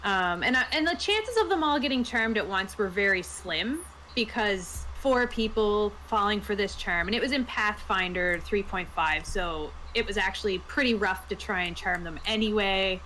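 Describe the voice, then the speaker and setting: A woman with a medium-pitched voice shares stories as a game master on a panel. Her delivery is casual and conversational. Noticeable background noise sits behind her voice. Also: expressive, moderate pace, few pauses, sharp tone, rough recording. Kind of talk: casual anecdotal chat.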